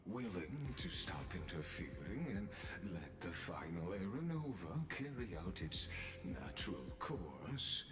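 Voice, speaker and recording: A scheming, scholarly villain from a video game, very low and unhurried. His pompous, silky delivery swings widely in pitch and sinks into murmurs at phrase ends. The voice rings with roomy reverb over music. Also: sing-song. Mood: calm, assured. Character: calm, scheming, erudite male villain, grandiose and unhurried. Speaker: game character voice actor. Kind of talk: theatrical scripted game dialogue.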